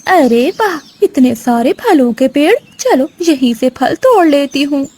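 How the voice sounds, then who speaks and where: High animated voice, woman acting irritable mother crow, lazy, grumbling, cartoon moral tale. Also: unhurried. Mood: pleading. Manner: complaining, snappish.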